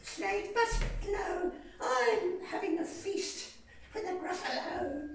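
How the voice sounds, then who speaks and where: Woman putting on a squeaky little mouse, cheeky and boastful, high and bouncy, echoey room. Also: lively intonation, slow, slight background noise, heavily acted. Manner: squeaky, bright, giggly. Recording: echoey room, distant mic.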